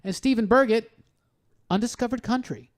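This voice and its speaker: A man's voice: very high voice, normal speed. An American man hosting a casual pop culture podcast, chatting with his panel and addressing listeners. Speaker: podcast host.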